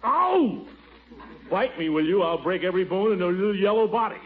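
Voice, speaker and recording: An actor playing a pompous, blustering middle-aged bachelor in 1940s radio acting, on a tinny old broadcast. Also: male voice, high voice, expressive. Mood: indignant. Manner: blustery, pompous, quick to take offense.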